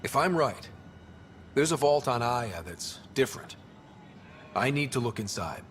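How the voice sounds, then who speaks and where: An earnest young explorer and leader, speaking in a natural mid-range voice. The delivery is sincere, conversational game dialogue, with faint ambience behind it. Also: male voice, medium pitch, sing-song, measured pace, fairly bright tone, slight background noise.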